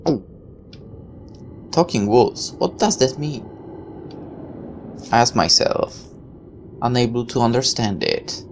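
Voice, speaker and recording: Creaky-voiced storyteller reading a game aloud. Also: medium pitch, animated, slow, long pauses, a little hiss or hum. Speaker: gaming video host reading a visual novel aloud. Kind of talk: story read-aloud with casual asides.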